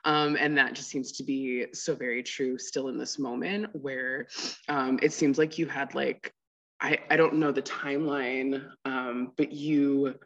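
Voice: medium pitch, little pitch movement, a little slow, a bit bright, muffled recording. A podcast host interviewing a guest in a casual, effusive way, with many fillers. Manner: chatty, effusive, heavy use of "like" and "um".

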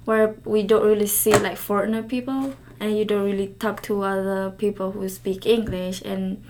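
A young woman from Thailand, a native Karen speaker, answering interview questions in halting English, often pausing to search for words. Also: medium pitch, flat delivery, a little mellow. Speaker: interview guest.

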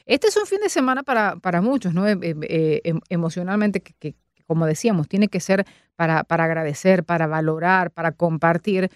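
A woman's voice: lowish voice, expressive, fairly quick, clear, resonant tone, no room sound, clean studio sound. A woman hosting a radio interview, talking in a chatty, reflective way. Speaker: radio host. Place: radio studio.

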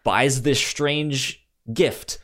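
An American man co-hosting a podcast in casual film chat, speaking haltingly and repeating words as he thinks aloud. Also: mid-range voice, steady tone, fairly bright tone. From United States. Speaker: podcast co-host.

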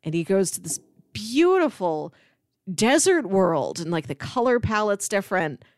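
A woman co-hosting a podcast, casually retelling scenes like an animated storyteller. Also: medium pitch, measured pace, no echo, clean studio sound. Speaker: podcast co-host.

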